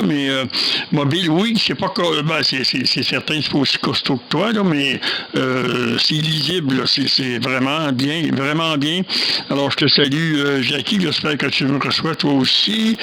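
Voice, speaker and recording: A man with a Quebec French accent chatting casually on amateur radio, haltingly and repeating words. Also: a little high, gravelly, bright, forceful tone, poor audio. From Quebec, Canada. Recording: faint noise, clicks on radio contact.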